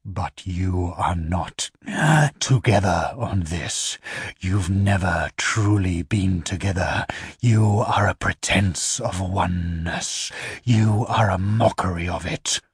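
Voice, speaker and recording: A man voicing a cold, seductive inhuman creature in a spoken story, low and hushed, at times whispering. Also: slow, slightly rough, no echo, studio quality, full character voice. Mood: scornful. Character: sinister seductive infernal creature, calm and cold.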